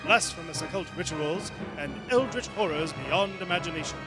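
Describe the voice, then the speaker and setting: A man's voice: high voice, normal speed, loud music behind the voice, natural voice. A man playing a grandiose, upbeat old-time radio announcer, performing live on a theater stage. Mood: grand, upbeat. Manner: theatrical, grandiose showman delivery. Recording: live theater stage, long hall reverb.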